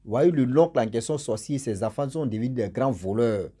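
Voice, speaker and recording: A man with a cold and a cough, telling a story to his followers in a casual spoken way. He repeats words for emphasis and swings between loud stressed words and endings that fade low. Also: lively intonation, brisk, husky. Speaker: storyteller for his channel's subscribers.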